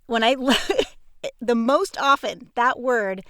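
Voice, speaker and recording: A woman's voice: fairly high pitch, lively intonation, drawn-out words, bright, forceful tone, dry recording, studio quality. A woman talking casually as a panelist on a podcast. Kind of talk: casual chat.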